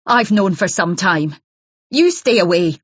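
A woman's voice: medium pitch, sing-song, normal speed, husky, no room sound, lo-fi sound, voice pushed up. A woman voicing a hostile, jealous wife who accuses and sneers down the phone. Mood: accusing.

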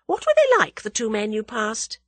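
Lady doing a plucky bossy young english gal from a storybook, high excitable voice, swoops about, bit husky. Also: normal speed, no echo. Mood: surprised, eager. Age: young adult. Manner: bright, emphatic, excitable. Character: bold, impulsive, scheming young English woman. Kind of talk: dramatised audiobook reading.

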